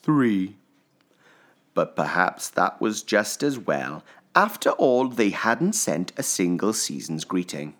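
A male storyteller with a fairly low voice reads a children's picture book. His delivery is slow and theatrical, with deliberate pauses and highly varied intonation. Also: very animated, a bit bright. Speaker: storybook narrator. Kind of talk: expressive children's story reading.

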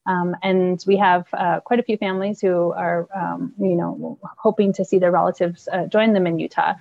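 Gravelly woman, agency staffer, hesitant and full of fillers. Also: on the low side, fairly even intonation, normal speed, darkish tone, dry recording, dull audio. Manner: hesitant, many ums and restarts. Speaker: refugee resettlement staff member. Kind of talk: news interview.

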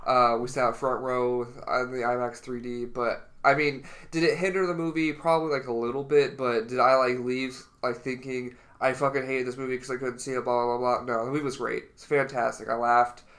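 A man's voice: medium pitch, little pitch movement, a little fast, hardly any pauses, a bit bright. A young man co-hosting a casual podcast with a stuffy nose from a cold, talking in a loose, rambling way. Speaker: podcast co-host. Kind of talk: casual chat.

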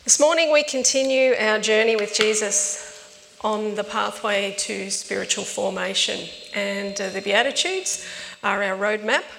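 A woman's voice: medium pitch, measured pace, gravelly, a bit bright, in a large hall, a little hiss or hum, poor audio. A woman pastor giving a conversational sermon, her voice strained from asthma. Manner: conversational storytelling preaching.

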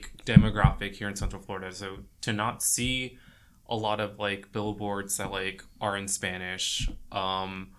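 A young man from Peru in a casual podcast chat, talking haltingly with many fillers. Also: fairly low pitch, flat delivery, fairly bright tone, slight background noise.

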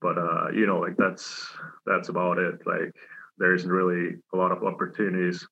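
A young man from Switzerland talks through his answers in a halting way, filling gaps with "like" and "you know." His voice sits very low with a gravelly edge and a bright, forceful tone that stays flat in pitch. The recording sounds dull and lo-fi. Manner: halting, many fillers and restarts. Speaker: hockey player. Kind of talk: casual interview answers.

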